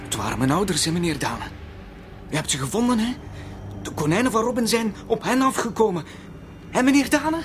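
A man's voice: sits high, big pitch swings, a little fast, pauses often, music in the background. A young man playing a frightened, stammering boy in a radio play, with a Flemish accent. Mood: anxious. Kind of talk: radio drama acting.

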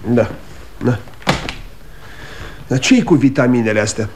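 A man's voice: medium pitch, lively intonation, natural voice. Male actor as placid neighbor, echoey noisy recording. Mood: calm, dry. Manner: plain, unruffled. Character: placid, dry-humoured male neighbor, kindly and practical. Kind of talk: 1960 Romanian radio theatre acting.

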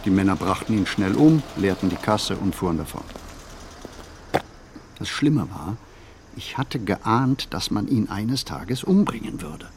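An actor playing a pensive, anxious man who muses on everyday details in a measured radio play monologue. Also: fairly low pitch, expressive, a little hiss or hum. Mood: brooding. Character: pensive, anxious male city flaneur musing on everyday details.

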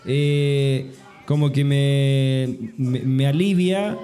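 A man's voice: medium pitch, fairly even intonation, drawn-out words, dark tone, clean tone, faint music behind. A man from Chile speaking in a discussion circle, reflective and hesitant, with many fillers and restarts. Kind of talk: reflective conversational talk.

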